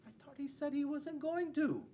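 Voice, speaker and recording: A man's voice: very high voice, normal speed, warm tone. A man in his forties reading a storybook to young children, with playful, chatty asides. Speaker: school resource officer reading to children. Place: school classroom. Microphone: camera a few feet away. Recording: distant mic, faint room noise.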